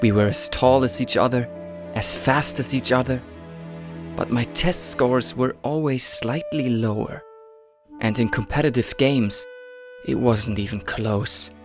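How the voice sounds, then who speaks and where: A man's voice: medium pitch, unhurried, over music, close to an everyday voice. A man voicing a timid, self-doubting boy trainer in a manga dub, hesitant and introspective. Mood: reflective. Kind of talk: manga dub narration and dialogue.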